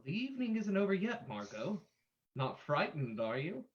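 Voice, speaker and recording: A performer acts a suave male detective in an old-time radio play. He questions formally and calmly, with lively rises and falls in pitch. The sound is slightly muffled. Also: moderate pace. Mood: suave, teasing. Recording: dull lo-fi sound.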